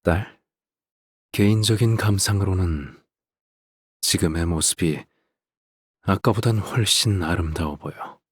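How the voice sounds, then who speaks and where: An actor playing a calm, sinister middle-aged father in a game, speaking in a measured, soft, condescending way with a husky, breathy voice. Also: sits low, no echo, studio quality. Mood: coldly tender. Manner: measured, soft-spoken, condescending, trailing into breathy near-whispers. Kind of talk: theatrical scripted game voice acting.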